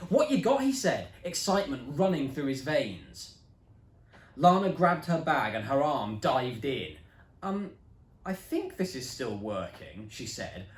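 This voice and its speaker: A young man reads a children's story aloud, dramatic and expressive. His delivery is slow and unhurried, with a voice that rises and falls a great deal. The recording echoes noticeably around the room. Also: medium pitch. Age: young adult. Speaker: reader. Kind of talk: storybook reading.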